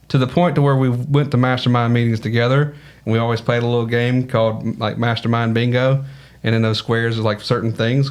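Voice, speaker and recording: Easygoing Southern man. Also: mid-range voice. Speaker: podcast guest. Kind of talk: casual podcast conversation.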